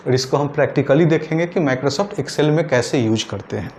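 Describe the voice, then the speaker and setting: A man teaching a patient, step-by-step lesson, his voice sitting a little higher than most men's. He checks in often with a quick "okay" and moves through each point carefully. A faint hiss runs under the recording. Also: a little mellow. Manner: patient, repeats points with frequent "ठीक है". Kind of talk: screen-recorded tutorial lesson.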